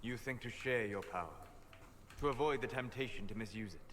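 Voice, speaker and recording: An actor playing a scholarly male sage in a video game, speaking formally and deliberately with grave, cautionary wisdom. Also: mid-range voice, a bit bright, lightly acted. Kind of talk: scripted video game dialogue. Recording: faint noise and ambience behind.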